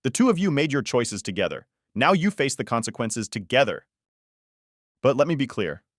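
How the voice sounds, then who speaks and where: A man's voice: medium pitch, very animated, normal speed, no room sound, clean studio sound, pitched above the performer's own voice. A man playing a bitter, betrayed husband in an audiobook, icy, sarcastic and accusing. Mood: furious, bitter.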